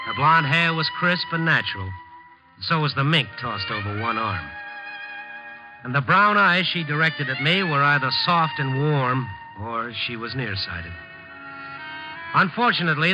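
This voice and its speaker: An actor playing a wry, world-weary newspaper reporter in a 1950s radio drama, narrating and talking in a dry, wisecracking way. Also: male voice, medium pitch, close to an everyday voice. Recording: muffled old 1950s radio broadcast.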